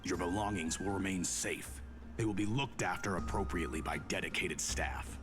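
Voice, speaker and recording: A man voicing a stern imperial guard in a fantasy game, formal, measured and unyielding. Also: low-pitched, music in the background, lightly acted. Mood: stern, courteous.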